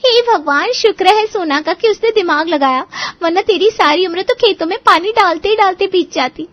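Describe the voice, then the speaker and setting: A woman's voice: extremely high pitch, normal speed, highs cut off. A woman playing a blunt, scheming young female friend in a cartoon moral story. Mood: relieved.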